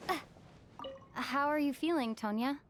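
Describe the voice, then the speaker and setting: A woman playing a calm, considerate young traveler in a video game, polite and gently inquisitive. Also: sits high, a little slow. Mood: concerned. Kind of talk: video game character dialogue.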